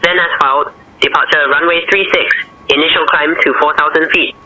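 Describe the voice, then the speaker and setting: A man's voice: a little high, a little fast, low-quality recording. A man with a Chinese accent acting as air traffic controller, giving clipped, formal radio instructions. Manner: clipped, formal, even delivery. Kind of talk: radio phraseology.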